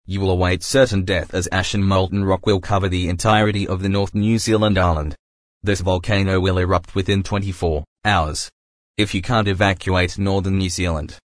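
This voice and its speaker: A very deep man's voice delivers a scripted emergency warning. The sound is dry and close, and the reading is formal, impersonal and synthetic. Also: no room sound. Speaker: emergency alert announcer. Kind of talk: scripted emergency broadcast announcement.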